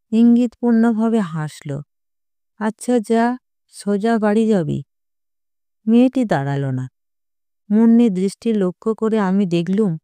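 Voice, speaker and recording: A narrator reading a first-person short story in a measured, literary storytelling manner. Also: mid-range voice, dark tone, clean tone, no echo.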